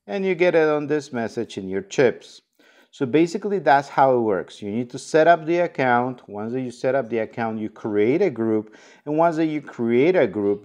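A man with a mid-pitched voice hosts a product tutorial. He talks informally, as in a casual how-to video. He explains things one step at a time. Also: darkish tone. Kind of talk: casual instructional walkthrough.

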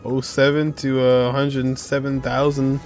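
A young man casually commenting on a video game as he watches, relaxed and chatty. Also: medium pitch, moderate pace. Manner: relaxed, chatty, reacting aloud. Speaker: gaming reaction streamer. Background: game music.

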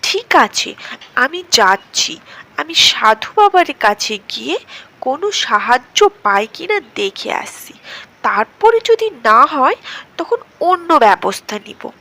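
Woman voicing a high-pitched plaintive cartoon fox. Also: big pitch swings, slow pace, pushed and bright, heavily acted. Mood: resolved. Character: aggrieved, tearful fox out for revenge. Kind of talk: children's cartoon storytelling. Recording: clearly noisy background.

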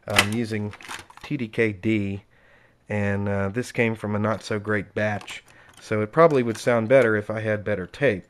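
A man's voice: fairly low pitch, fairly even intonation, moderate pace, a little hiss or hum. A man giving a casual, unscripted, chatty demonstration of equipment, explaining as he goes with dry enthusiasm. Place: indoors at home, close-up tabletop demonstration.

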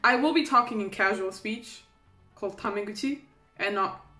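Woman, 20s, German accent, casual vlog chat, self-deprecating, mid pitch, roomy echo. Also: moderate pace, over music. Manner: chatty, self-deprecating, laughs often. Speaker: vlogger. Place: small bedroom indoors.

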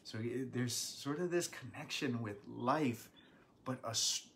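A man, a pastor teaching a Bible study from home, earnest and animated, with bursts of emphasis. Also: medium pitch, unhurried, creaky, slight background noise. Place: small living room at home.

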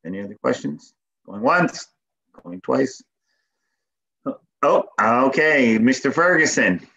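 Casting: a mid-pitched man running a council session, procedural and steady, over muffled call audio. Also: slow, gravelly. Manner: procedural, repeats "going once, going twice". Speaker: deputy mayor chairing a council meeting. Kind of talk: formal meeting chairing. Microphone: video call.

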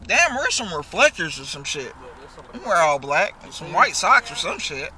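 A man riding in a car chats casually with friends in slangy talk. His voice is high and swings very widely in pitch. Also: very animated, moderate pace. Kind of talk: casual chat among friends. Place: inside a car.

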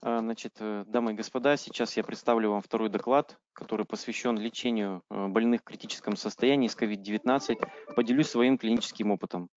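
A man interpreting a medical lecture consecutively, halting with frequent fillers and self-corrections. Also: fairly low pitch, fairly even intonation, a little mellow. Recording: dry, dull lo-fi call audio.

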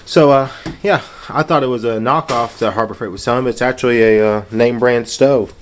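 A man's voice: medium pitch, fairly even intonation, brisk. A man casually narrating his hands-on home repair in a laid-back, plain-spoken way. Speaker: home DIY video maker. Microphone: handheld camera or phone behind the lens. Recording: noisy handheld camera audio with clicks.

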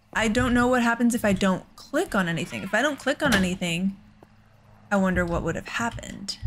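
A woman's voice: mid-range voice, slight background noise. A woman giving casual let's play commentary, thinking aloud in an exasperated way. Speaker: gaming host.

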